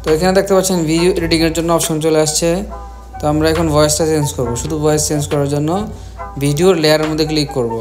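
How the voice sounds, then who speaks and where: Male tutorial host, Bangladeshi accent, instructional and repetitive, monotone delivery. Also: fairly high pitch, racing. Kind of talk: step-by-step software tutorial narration. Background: music.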